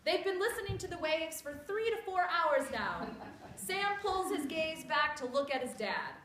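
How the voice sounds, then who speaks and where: High, bright woman narrating. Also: big pitch swings, normal speed. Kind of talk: scripted story narration read aloud. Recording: echoey room with clear background noise.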